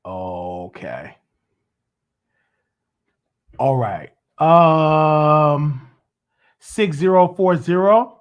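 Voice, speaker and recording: A man hosting a casual live call-in show, chatty and animated, his voice worn from talking. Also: drawn-out words, long pauses, lo-fi sound. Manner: animated, pitch jumps high when excited. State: losing his voice. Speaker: host.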